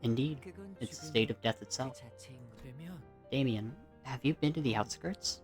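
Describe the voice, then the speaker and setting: A calm and philosophical schoolboy as a narrator puts him on in a read-aloud story. He speaks with measured solemnity, mature beyond his years. Music sits behind the voice. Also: mid-range voice, lightly acted. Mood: solemn, dreamy.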